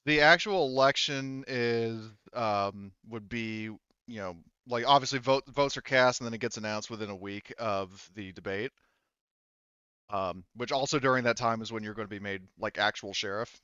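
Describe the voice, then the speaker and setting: A man running a tabletop game as game master, narrating casually in a halting, rambling way. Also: on the low side, bright, forceful tone, smooth, dry recording, dull audio.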